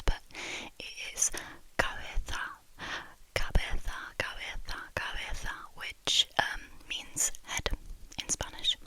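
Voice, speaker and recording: A young woman whispering into a close microphone for an ASMR video, softly repeating trigger words again and again and chatting with small giggles between them. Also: rough recording. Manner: soft, slow whisper that repeats words many times. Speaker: ASMR creator.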